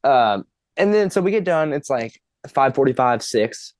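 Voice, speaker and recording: A young man in his twenties tells a story on a podcast with loose, laughing energy and the odd curse word. His voice sits in an ordinary middle pitch. The recording is dry and close, with no room sound. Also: unhurried, dry recording. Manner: animated, laughing, swearing storyteller. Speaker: podcast guest, drummer. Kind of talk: casual storytelling chat.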